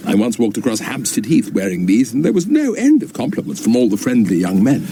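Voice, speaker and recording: A British actor playing a pompous, theatrical rambling enthusiast in a radio sitcom, florid and exclamatory. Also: male voice, medium pitch, big pitch swings, brisk, warm tone, a little hiss or hum. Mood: jolly, boastful. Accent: British English. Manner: florid, self-important, exclamatory. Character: eccentric, pompous, theatrical male poetry-loving rambling enthusiast.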